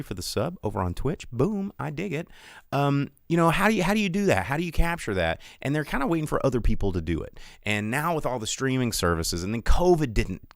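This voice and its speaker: A man talks off the cuff like the host of a live show, opinionated and slangy. His pitch moves around a lot as he slips into imagined quotes. The sound is dry and close, with casual, conversational phrasing. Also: creaky, no room sound.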